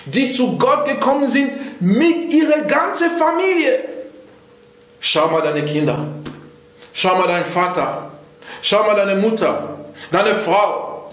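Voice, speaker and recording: Urgent high-voiced preacher, echoey room. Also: male voice. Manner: urgent, repetitive exhortation with rising pleas. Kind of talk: sermon.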